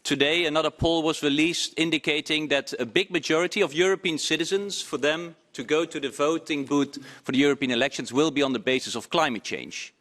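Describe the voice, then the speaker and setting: Higher-voiced man addressing a committee. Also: a little fast, hardly any pauses, a bit bright. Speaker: member of parliament. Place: parliament committee room.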